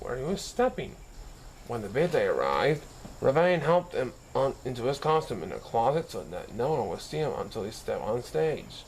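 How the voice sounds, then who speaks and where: A man narrates a story with lively, swinging intonation at a medium pitch. He reads expressively and now and then trips on a word before carrying on. There is faint noise behind him, with an insect-like chirr. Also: low-quality recording. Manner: expressive reading with occasional stumbles over words. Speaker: storybook reader. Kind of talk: scripted story narration read aloud.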